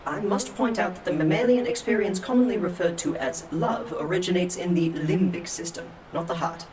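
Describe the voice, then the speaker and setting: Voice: medium pitch, fairly even intonation, a little fast, far from the mic, over a noisy crowd, natural voice. A performer voicing a formal, deferential, literal-minded robot valet in a comedic audio drama, with prim and precise wording. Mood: matter-of-fact apologetic.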